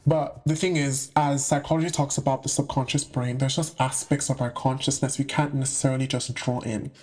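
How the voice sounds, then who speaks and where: A man's voice: mid-range voice, level tone, a little fast, darkish tone. A young man from the UK giving a casual, slangy monologue to camera about spiritual topics, with playful asides. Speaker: spiritual content creator.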